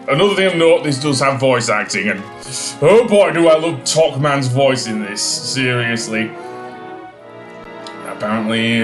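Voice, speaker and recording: A man giving casual, rambling video game commentary with a halting delivery. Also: medium pitch. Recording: roomy echo, faint music behind.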